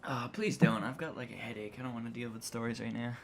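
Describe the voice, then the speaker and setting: A man bantering casually as a co-host, exasperated and quick to swear. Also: medium pitch, steady tone. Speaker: co-host of a visual novel rant show. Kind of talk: casual banter between friends.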